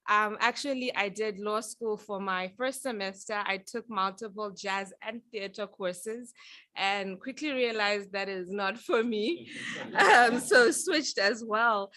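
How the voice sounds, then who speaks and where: A young woman from Zambia talks through her career path at a panel event in an earnest, reflective way. Her voice is bright and forward, recorded close and dry with almost no room sound. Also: medium pitch, dry recording. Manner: earnest, reflective, with some filler ums. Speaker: panel speaker. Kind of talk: prepared personal talk at a panel.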